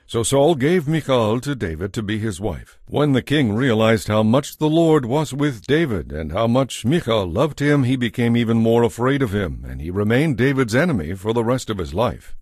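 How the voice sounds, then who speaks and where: A man narrating a dramatized Bible story from a script in a measured, expressive storytelling manner. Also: mid-range voice, no echo, studio quality.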